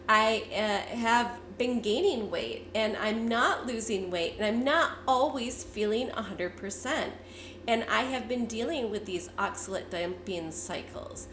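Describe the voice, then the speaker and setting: A fifty-year-old woman, hoarse and congested from a cold, chatting candidly to her viewers. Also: fairly high pitch, a bit bright, echoey room, slight background noise. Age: 50s. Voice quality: raspy. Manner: candid, chatty, with frequent fillers. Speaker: vlogger. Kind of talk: casual talk to camera.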